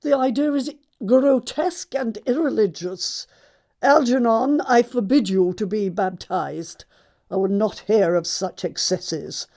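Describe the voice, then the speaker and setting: A woman's voice: medium pitch, unhurried, dark tone. A woman playing a snobbish, haughty older lady in a stage comedy audiobook, with an upper-class English accent and a pompous, commanding manner. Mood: indignant. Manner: pompous, commanding, emphatic enunciation.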